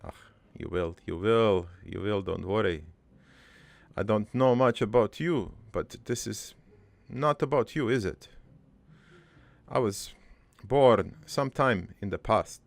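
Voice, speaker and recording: A man voicing a middle-aged, foreign-born cartoon father who rambles through his life story in a deadpan, halting, improvised monologue with a gravelly voice. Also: lowish voice, dry recording. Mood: rambling, wry. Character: rambling, crude, wistful foreign-born immigrant father named Joey.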